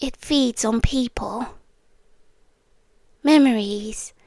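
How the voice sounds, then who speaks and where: A British woman playing a possessive demon girlfriend in an ASMR roleplay, halting and breathy, eerily tender and menacing, close on the mic. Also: a little high, darkish tone, dry recording, exaggerated voice. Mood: eerie, confessional. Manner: breathy whispering, halting, drawn-out delivery. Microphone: close, dry ASMR microphone.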